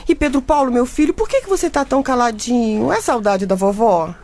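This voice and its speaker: A woman's voice: a little high, lively intonation, brisk, a little mellow. An actress playing a dramatic, doting mother in her late thirties with a Brazilian accent in a comedic radio drama. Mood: mournful. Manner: theatrical, plaintive. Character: dramatic, doting 38-year-old mother, a reporter.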